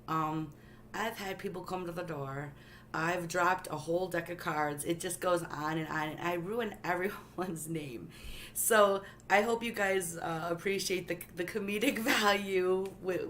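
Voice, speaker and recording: A woman with a lowish voice, strained after a coughing fit, leads a live tarot reading from home. She talks to her viewers in a chatty, gentle way and sometimes drops almost to a whisper. Faint background noise sits under the voice. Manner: says she has a loud voice but keeps it soft. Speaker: tarot reader hosting a live stream. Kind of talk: casual live-stream chat and tarot reading.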